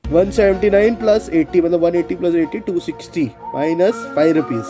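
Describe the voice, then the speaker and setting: A man's voice: high voice, moderate pace, over music. A man, bantering casually on a game livestream in a teasing, mock-indignant, exclamatory manner. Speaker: game streamer. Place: small room indoors.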